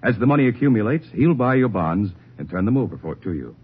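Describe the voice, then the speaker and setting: Persuasive husky man announcer, low voice, dull old radio. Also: animated, fast talker. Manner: persuasive, earnest. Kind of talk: 1950s radio savings-bond ad.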